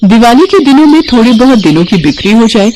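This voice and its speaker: A woman's voice: fairly high pitch, very animated, quick pace, a little mellow, a little hiss or hum, rough recording. A woman narrates a children's story from a script. She speaks as a storyteller, setting out the tale line by line.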